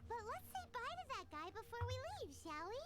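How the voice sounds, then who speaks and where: A woman's voice: extremely high pitch, sing-song, a little fast, music in the background. A woman voicing a tiny floating childlike companion in a video game who talks about herself in the third person. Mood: chirpy.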